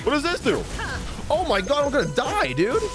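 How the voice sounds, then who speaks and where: A young man doing live gameplay reactions as a streamer, excitable, slangy and full of exclamations. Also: very high-pitched, normal speed. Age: young adult. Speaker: game streamer. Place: small home streaming room. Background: game music.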